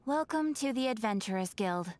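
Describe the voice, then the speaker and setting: A woman's voice: on the high side, expressive, darkish tone, slight background noise, natural voice. A woman voicing a composed, formal young guild receptionist in a video game. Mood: polite. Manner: formal, measured. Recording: clear music behind.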